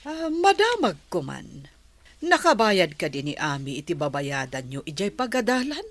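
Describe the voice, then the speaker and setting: A woman's voice: fairly low pitch, sing-song, moderate pace, no echo. Indignant radio-drama mother, swooping delivery. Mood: indignant, worried. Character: indignant, proud mother of a poor farming family.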